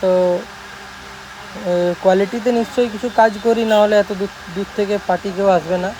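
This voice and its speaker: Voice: medium pitch, steady tone, moderate pace, dark tone, slight background noise, low-quality recording. A shop owner with a West Bengal accent giving an informal, insistent, repetitive promotional talk to viewers.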